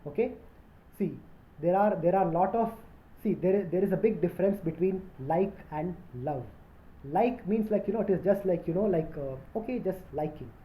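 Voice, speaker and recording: A man's voice: high voice, moderate pace, dark tone, poor audio. A young man from India, raised in Indonesia, talking casually to camera as a travel vlogger. He rambles, repeats points and fills gaps with "like, you know". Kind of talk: casual to-camera vlog talk.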